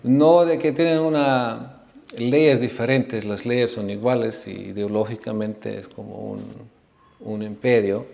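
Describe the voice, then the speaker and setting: Male spiritual teacher from Bosnia, unhurried lecturer with a gravelly, creaky voice. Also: medium pitch, fairly even intonation, darkish tone. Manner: unhurried, frequent tag questions. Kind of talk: lecture on religious history. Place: ashram class.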